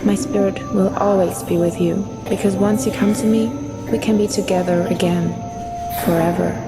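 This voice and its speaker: A woman's voice: mid-range voice, steady tone, normal speed, lightly acted, low-quality recording. A woman playing a ghostly drowned lover in a horror audio drama, soothing and coaxing as she lures someone to her. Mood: tender, luring. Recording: loud music over the voice.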